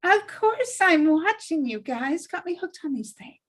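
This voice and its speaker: Very high-voiced earnest young woman, acted by a woman. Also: sing-song, measured pace, full character voice. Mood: casual. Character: earnest, practical good-girl young woman, sheepish. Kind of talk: table read of a TV script.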